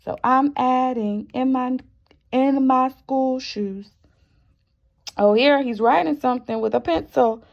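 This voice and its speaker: A woman teacher reading a picture book aloud to young children, expressive and encouraging, often asking the listeners questions. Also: sits high, takes it slowly, vocal fry. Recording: dull, muffled lo-fi sound.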